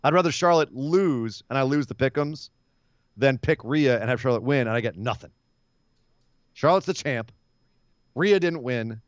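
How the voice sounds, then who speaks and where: A slightly hoarse man co-hosting a casual podcast, talking in an animated, opinionated way and laughing easily. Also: medium pitch, moderate pace, pauses often, no echo. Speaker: podcast co-host. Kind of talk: casual wrestling podcast chat. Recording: muffled, dry remote podcast audio.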